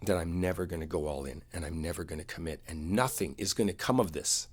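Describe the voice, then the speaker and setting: A man playing an earnest, wounded boyfriend in an intimate roleplay, speaking in a halting, confiding way. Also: deep voice, breathy edge. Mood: earnest, vulnerable.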